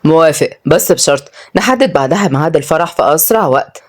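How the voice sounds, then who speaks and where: A woman's voice: low-pitched, expressive, fast talker. A woman voicing a sly, pushy young Egyptian man in a story, an impatient suitor. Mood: opportunistic. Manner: scheming, pushy.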